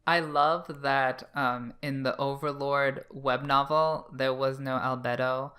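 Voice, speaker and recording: A male podcast co-host with a bright, smooth voice at a middle pitch. He chats casually and sounds playful and cheeky. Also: fairly even intonation, takes it slowly.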